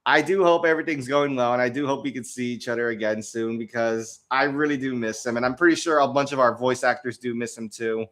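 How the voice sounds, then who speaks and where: A man's voice: medium pitch, steady tone, a little fast, hardly any pauses, fairly bright tone. A young man chatting casually on a livestream, rambling with lots of restarts and frequent laughs. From United States. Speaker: streamer.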